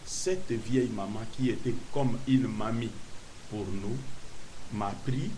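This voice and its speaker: A man from Kinshasa tells his conversion story as a guest on a television show. He speaks haltingly, with frequent pauses and fillers. His voice sits at an ordinary pitch, and steady hiss runs under the whole recording. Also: poor audio. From Kinshasa, Congo. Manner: hesitant, many pauses and fillers. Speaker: apostle, guest preacher. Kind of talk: testimony interview. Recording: hissy broadcast recording.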